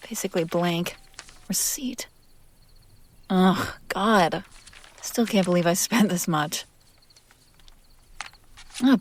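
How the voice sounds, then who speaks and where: A woman playing a possessive, teasing demon in an intimate scripted audio roleplay, speaking theatrically with drawn-out phrases that drop toward whispers. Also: mid-range voice, gravelly. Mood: annoyed, suspicious.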